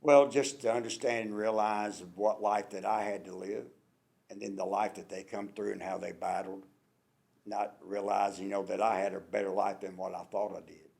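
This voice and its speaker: Deep gravelly pastor guy, reflective, says you know a lot. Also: steady tone, moderate pace, darkish tone. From Kentucky, USA. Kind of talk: interview answers.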